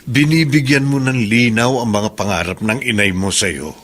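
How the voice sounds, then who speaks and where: A man's voice: medium pitch, normal speed, fairly bright tone, natural voice. Man playing a kindly Filipino mentor. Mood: proud, advising. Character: kindly, wise male mentor to a young prisoner. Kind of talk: Filipino radio drama acting.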